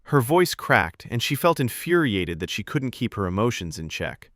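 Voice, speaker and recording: A man reading scripted fiction as a podcast narrator, with expressive storytelling delivery. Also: medium pitch, normal speed, no echo, clean studio sound.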